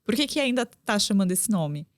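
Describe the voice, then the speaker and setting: A woman's voice: mid-range voice, sing-song, brisk, no room sound, studio quality. Chatty woman guest. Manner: chatty, with frequent tag questions. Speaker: guest, drama YouTuber. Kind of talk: casual conversational interview.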